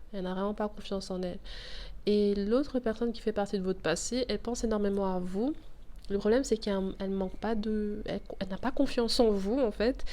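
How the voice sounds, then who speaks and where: A woman's voice: medium pitch, mellow. A woman with a cold giving a casual tarot reading to camera, chatty and thinking aloud as she draws the cards. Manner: chatty, thinks aloud, laughs at her own fumbles. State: has a cold. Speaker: tarot reader.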